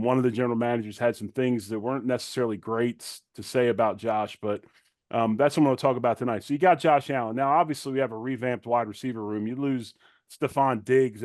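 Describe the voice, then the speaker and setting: A man's voice: medium pitch, a little mellow, no echo, clean studio sound. A middle-aged man, a former player turned commentator, giving conversational sports analysis in an informal, plain-spoken way.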